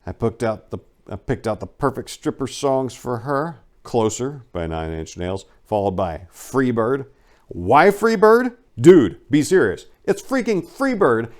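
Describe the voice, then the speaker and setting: A man giving a dramatic first-person reading of a memoir, telling it in a wry, animated storyteller's way. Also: mid-range voice. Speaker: audiobook narrator.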